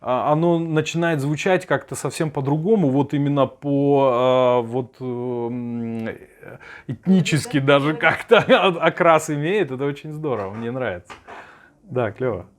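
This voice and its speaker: Middle-aged man talking haltingly, mid-pitched. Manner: halting, searching for words. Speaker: songwriter and sound director. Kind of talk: casual interview talk.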